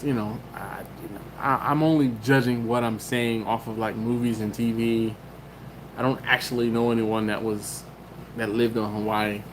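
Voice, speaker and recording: A man's voice: on the low side, fairly even intonation, moderate pace, slight background noise, rough recording. A man hosting a casual livestream, reading chat comments aloud and rambling with laughs and restarts. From Maryland, US. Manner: rambling, laughs often, self-interrupting.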